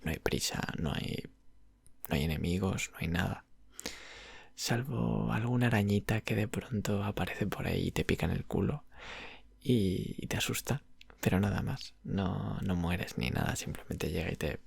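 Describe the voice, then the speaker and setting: A man with an accent from Spain chatting softly and unhurriedly in ASMR style, breathy, creaky and half-whispered. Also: sits low, dry recording. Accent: Spanish (Spain). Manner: unhurried, rambling. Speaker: ASMR roleplayer as video game shop clerk. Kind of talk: ASMR roleplay, soft casual chat about games.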